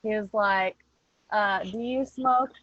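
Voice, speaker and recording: A woman's voice: mid-range voice, slow pace, fairly bright tone, dry recording. A woman in casual podcast chat, talking candidly and informally with some casual swearing. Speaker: podcast cast member. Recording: dull lo-fi audio.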